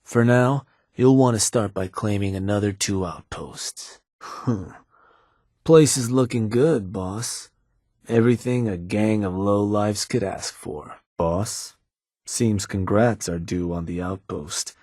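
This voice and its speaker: A scheming raider lieutenant in a game, measured and cool. The voice sits low with a husky grain. It is recorded dry and close, with no room sound. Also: male voice, a little mellow, no echo. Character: shrewd male raider gang lieutenant, calm. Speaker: game character. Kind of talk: game voice lines.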